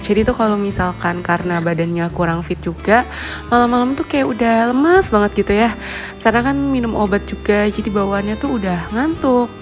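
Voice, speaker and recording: Homemaker vlogger, young woman, nasal and hoarse from a cold, chatty with small laughs, music bed underneath. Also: medium pitch, fairly quick. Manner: chatty, friendly, with little laughs and fillers. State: stuffy nose and hoarse from a cold. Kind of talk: casual voice-over narration. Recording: voice-over with clear background music.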